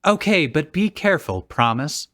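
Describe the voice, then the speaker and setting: A man's voice: fairly high pitch, expressive, moderate pace, fairly bright tone. A man voicing an earnest young princess heroine in a fan-fiction read-aloud. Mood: gentle concern.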